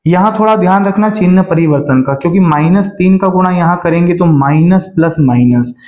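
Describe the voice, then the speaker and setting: A man's voice: high voice, a little mellow, reverberant, muffled recording. A man teaching a math lesson for exam prep, explaining step by step and often checking that students follow. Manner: stretches key phrases slowly while reading the question aloud.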